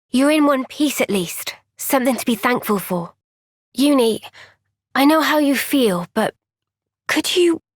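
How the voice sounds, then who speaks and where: A woman playing an earnest, gentle young female soldier in a video game cutscene, speaking with measured, sincere resolve. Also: high voice, slightly rough, no room sound, clean studio sound, heavily acted. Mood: gentle, reassuring.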